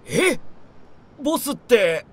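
An actor playing a naive, loyal young stray tomcat, a rookie gang underling with boyish, slangy talk in a voice drama. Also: male voice, very high-pitched, big pitch swings, slow, exaggerated voice. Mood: awed, excited. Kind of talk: Japanese voice drama acting.

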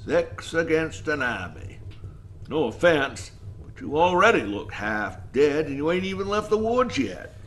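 A man's voice: on the high side, sing-song, unhurried, fairly bright tone, a little hiss or hum. A man playing a weary, wary old lighthouse keeper in an audio drama, with a folksy drawl. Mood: teasing, skeptical. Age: older.

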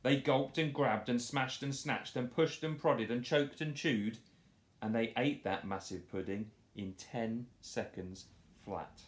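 A man in his thirties reading a picture book aloud to children in a playful, expressive storytelling style. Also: mid-range voice, measured pace. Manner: animated, playful delivery. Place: small living room, on a sofa indoors. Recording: roomy home echo.

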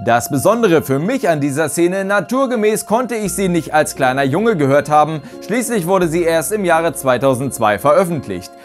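Animated man presenting a scripted ranking. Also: on the high side, moderate pace, few pauses. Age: 30s. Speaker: host of a radio-play fan channel. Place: small indoor home studio. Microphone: lapel mic. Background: music.

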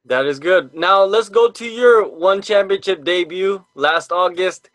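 A man's voice: sits high, unhurried, fairly bright tone, no room sound. A young adult man interviewing a fighter over a video call, asking leading, encouraging questions. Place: indoors, home room. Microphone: video call webcam.

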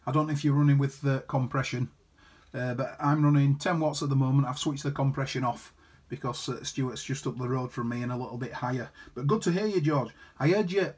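A man with a husky voice, an amateur radio operator chatting casually in friendly, jargon-filled radio talk. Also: mid-range voice. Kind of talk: casual ham radio chat. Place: indoors at his radio set.

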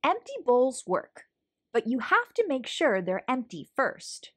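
Female read-aloud host for young kids, highish lively voice with swooping intonation, storytelling delivery. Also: unhurried. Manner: animated, clearly paced storytelling for young children. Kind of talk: expressive picture book read-aloud.

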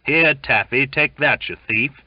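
A man voicing rough, mocking sailors in a sea story, calling out in coarse slang. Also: medium pitch, measured pace, dry recording, dull audio, pitched above the performer's own voice, close to an everyday voice. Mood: gruff teasing. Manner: shouting, jeering, coarse seafaring slang. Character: rough, jeering sailors shouting mockery at a shipmate.

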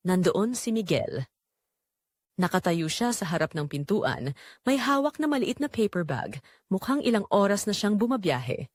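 Young Filipino female narrator for dramatic romance story; emotive, reflective, lively pitch movement, close dry recording. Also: dry recording. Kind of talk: dramatic scripted first-person romance narration.

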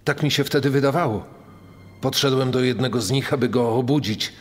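A man's voice: mid-range voice, brisk, close to an everyday voice. An actor playing a male prison guard giving testimony in a dramatised true crime story. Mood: sober recollection. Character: male prison guard recalling a shocking morning. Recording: long reverberant hall echo.

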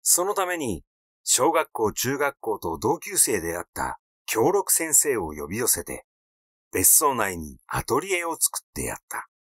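A man reading literary fiction aloud as a narrator, in a measured storytelling delivery. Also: medium pitch, wildly swooping pitch, a bit bright, no echo, studio quality. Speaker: audiobook narrator.

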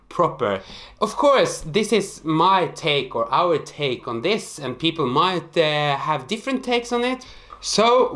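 Norwegian guy in his 30s, slow talker, voice jumps all over, chatty explainer at home. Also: high voice. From northern Norway. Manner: animated, expressive, hesitant restarts. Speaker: host. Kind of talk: casual explainer to camera. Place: small apartment room, indoors.

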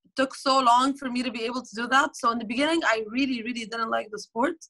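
A woman's voice: fairly high pitch, fast talker, fairly bright tone. A woman in her twenties from Egypt, a professional squash player, chatting casually on a video call with lots of fillers and restarts. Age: 20s. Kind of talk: casual interview answers. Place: bright indoor room, at home. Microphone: phone or laptop camera on video call.

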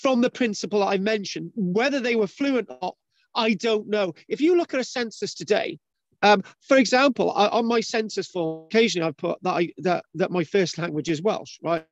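A man's voice: high voice, normal speed, no echo. Rambling man on a lo-fi video call. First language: English. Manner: rambling, many restarts and fillers, asks "right?". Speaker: host. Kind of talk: casual discussion on a video call. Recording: dull lo-fi video call audio.

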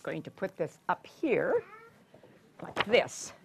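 An older woman telling a story to young children in a theatrical, deliberate way, drawing out words and putting questions to her listeners. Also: on the low side, big pitch swings, creaky, noisy background. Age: 60s or older. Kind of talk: animated read-aloud storytelling to young children. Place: children's story room in a public library.

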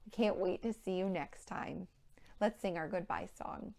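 An American woman in her thirties presenting a children's storytime, speaking clearly and animatedly in simple phrases to young children. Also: fairly low pitch, normal speed, a little mellow. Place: indoor children's play room.

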